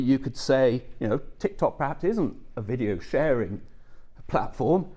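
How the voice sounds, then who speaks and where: Brief: middle-aged male academic presenter, conversational lecture delivery, mid pitch, keeps checking in with listeners. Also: mellow. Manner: conversational, checks in with frequent "okay" and "yeah". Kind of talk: conference talk.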